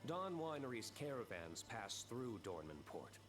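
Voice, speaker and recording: A man's voice: medium pitch, a little slow, music in the background. An actor playing a stern, aloof young nobleman in a game, cool, clipped and formal. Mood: cold. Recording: game audio with steady noise.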